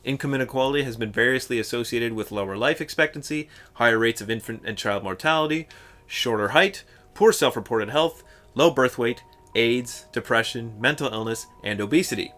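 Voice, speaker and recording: A man's voice: mid-range voice, brisk, fairly bright tone. A man reading a scripted video essay narration with a wry, sarcastic manner.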